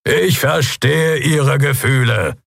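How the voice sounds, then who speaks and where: A man's voice: mid-range voice, slow, gravelly, fairly bright tone, no echo, clean studio sound, voice pushed up, heavily acted. A man voicing a stern, unshakable furred guard captain in a fantasy audiobook, bellowing and formal in his commands. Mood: firm, defensive.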